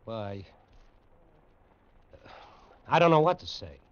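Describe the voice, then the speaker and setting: An actor playing a bewildered human pilot in an old radio drama, halting and incredulous as he questions. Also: on the low side, expressive, dry recording. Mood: stunned disbelief. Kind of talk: 1950s radio science fiction drama acting. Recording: dull old radio recording.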